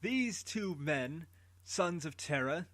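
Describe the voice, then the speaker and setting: A man doing a slow proclaiming royal herald. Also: on the high side, lively intonation, fairly bright tone, no echo. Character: old royal herald leaning on a staff.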